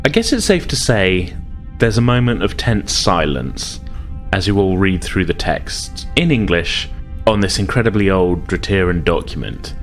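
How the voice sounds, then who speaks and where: A man narrating a tabletop role-playing game as game master, casual and halting as he explains. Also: low voice, sing-song, faint music behind.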